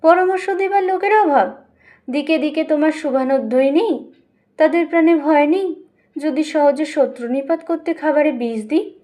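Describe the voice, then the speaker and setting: A woman narrator voices a demanding widowed sister-in-law in an audiobook story. She speaks in a high voice, insistent and sarcastic, defying her family. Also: moderate pace, natural voice. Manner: sharp-tongued, insistent, sarcastic. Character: defiant, demanding widowed Bengali sister-in-law, head of kitchen.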